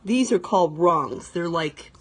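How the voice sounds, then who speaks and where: A woman reading a children's picture book aloud expressively, pausing to explain things to young listeners. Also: fairly low pitch, lively intonation, husky. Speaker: read-aloud storyteller.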